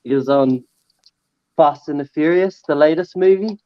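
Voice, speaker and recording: A man's voice: fairly high pitch, moderate pace, smooth, dry recording. A man with a New Zealand accent chats easily as a podcast guest and drops in "bro" and "mate". His voice comes through a dull, lo-fi remote recording that is dry and close. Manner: relaxed, says "bro" and "mate". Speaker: guest fan. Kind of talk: casual podcast chat.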